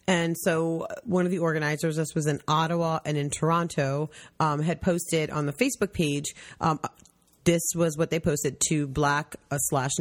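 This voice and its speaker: A woman hosting a casual discussion, talking in a conversational way with frequent fillers. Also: deep voice. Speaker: host. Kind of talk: casual discussion, reading a post aloud.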